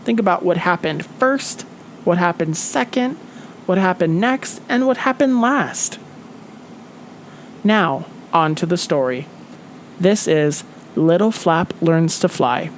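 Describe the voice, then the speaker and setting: A woman teacher giving a children's story read-aloud lesson in a deliberate, expressive, instructional way. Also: on the low side, a little hiss or hum.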